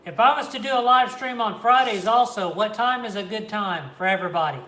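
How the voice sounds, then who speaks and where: A man from the Kansas, Oklahoma and Missouri area with a Midwestern American accent, hosting a relaxed livestream from home and answering viewers' chat. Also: high voice, fairly quick. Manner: laid-back, reads and answers live chat. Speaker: reptile channel host. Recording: echoey room.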